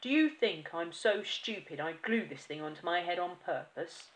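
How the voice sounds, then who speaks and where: Woman doing a shouting, blustering bully of a dad. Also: fairly low pitch, animated, moderate pace, heavy background noise, lightly acted. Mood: angry shouting. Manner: shouting, outraged. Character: blustering bullying middle-aged father.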